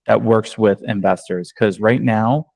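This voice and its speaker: Low-voiced man leading an online training. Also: steady tone, a little slow, vocal fry, a little mellow, no room sound, studio quality. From New York. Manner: conversational, many ums and restarts. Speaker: real estate agent and trainer. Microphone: video call.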